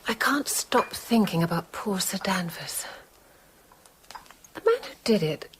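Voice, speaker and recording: Soft-spoken Victorian British gentlewoman. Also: mid-range voice, sing-song, unhurried, a little hiss or hum, low-quality recording. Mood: troubled. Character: observant, thoughtful Victorian gentlewoman, gentle and curious. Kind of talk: British radio drama acting.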